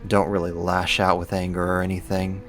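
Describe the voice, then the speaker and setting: Guy acting as a chill roommate whos secretly a supervillain, really low voice, teasing, asmr roleplay w soft music behind. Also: completely flat delivery, normal speed. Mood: earnest, admiring. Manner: casual, laid-back, lightly teasing, slangy.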